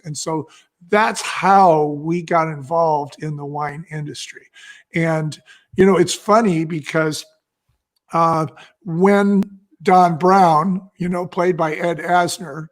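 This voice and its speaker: An older man chatting casually as an interview guest, telling anecdotes in an animated way and acting out what people said. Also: on the high side, takes it slowly. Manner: animated storyteller, acting out quotes. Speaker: guest, business co-founder. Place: indoors, live video call.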